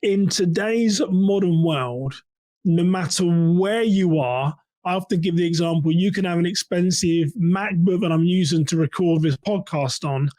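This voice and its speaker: A man's voice: sits high, a little slow, mellow, no echo. A man hosting a solo podcast, explaining things conversationally as a practitioner and putting questions to the listener. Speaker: podcast host and church security practitioner.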